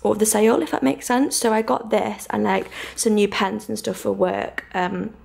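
A woman's voice: mid-range voice, fairly even intonation, moderate pace, vocal fry, a little mellow. A woman, chatting casually and informally to camera as a vlogger, with gushing enthusiasm. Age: 20s. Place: small flat, bedroom and kitchen, indoors.

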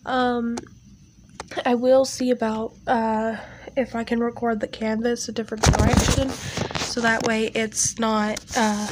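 Slow, rambling young woman, nasal. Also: fairly high pitch, little pitch movement, heavy background noise. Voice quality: nasal, stuffy from allergies. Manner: rambling, many ums and fillers. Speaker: art channel creator. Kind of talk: casual voiceover over a speed paint. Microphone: home recording setup.

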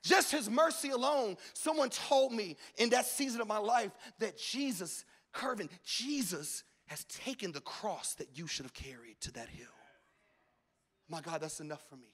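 Bright-voiced preaching pastor on stage. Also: male voice, high-pitched, lively intonation, normal speed. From United States. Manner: swings from shouted preaching to hushed intimate talk. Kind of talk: sermon. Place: large church stage with audience. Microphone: handheld mic.

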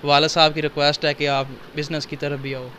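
A man's voice: on the high side, little pitch movement, bright, forceful tone, slight background noise. A man, interviewing a young shop owner in a cloth warehouse on a handheld mic in an upbeat, promotional manner. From Pakistan. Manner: upbeat, promotional, asks quick questions. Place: indoors, small cloth warehouse.

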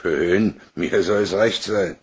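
An actor playing a wry, self-deprecating small-time private detective in a German crime radio play, dry and laconic. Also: male voice, low-pitched, takes it slowly, husky, exaggerated voice.

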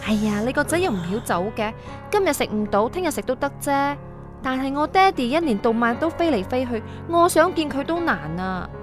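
A young woman, playing a tycoon's daughter in a radio play. She is breezy and coaxing, dropping in English words, with soft music behind her. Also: high voice, expressive, a little fast. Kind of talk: radio drama acting, casual dialogue. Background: background music.

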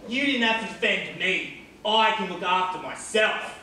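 An actor playing a cantankerous, foul-mouthed old care-home resident in a stage play, with a blunt, mocking manner. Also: male voice, high-pitched, animated, moderate pace. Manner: sardonic, blunt, needling. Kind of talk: amateur stage play acting. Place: theatre stage, indoors. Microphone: distant camera microphone. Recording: echoey theatre stage from far off, faint noise.

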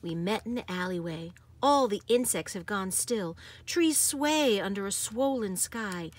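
A woman reading a picture book aloud in an expressive, dramatic manner. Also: mid-range voice, unhurried. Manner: animated, dramatic, varies from hushed to exuberant. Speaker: storybook reader.

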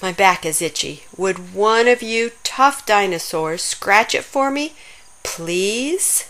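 A woman voicing a huge, gentle female long-necked dinosaur in a children's storybook, polite and coaxing. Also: mid-range voice, expressive, slow. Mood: friendly, pleading.

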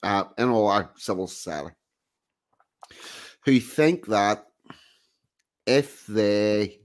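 A man's voice: lowish voice, slow pace. A man hosting a casual online video call chat, talking bluntly and emphatically. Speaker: host. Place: indoor room.

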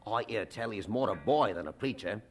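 An actor playing a chatty, sly, knowing sailor in a radio drama, with a gravelly, husky voice and folksy, teasing talk. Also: male voice, fairly low pitch, animated, a little fast, soft background music, close to an everyday voice. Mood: gossipy, amused.

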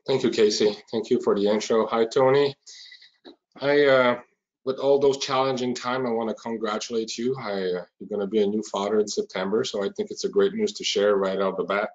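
A man's voice: lowish voice, fairly even intonation, fairly quick. French Canadian man, chatty webinar presenter. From Quebec, Canada. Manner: informal, chatty, with frequent fillers. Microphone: video call. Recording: muffled lo-fi webinar audio.

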